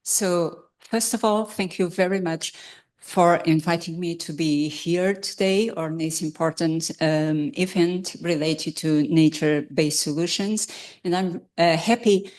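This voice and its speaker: Keynote speaker: woman, slightly low voice, halting and careful. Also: a little mellow. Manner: hesitant, many fillers and restarts. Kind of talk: conference keynote talk. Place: conference hall.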